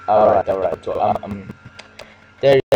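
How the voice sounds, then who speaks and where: A man with a Brazilian accent, a native Portuguese speaker, giving a casual, hesitant software demo. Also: lowish voice, muffled recording. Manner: halting, hesitant. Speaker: amateur video maker demonstrating software. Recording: noisy amateur microphone, background noise.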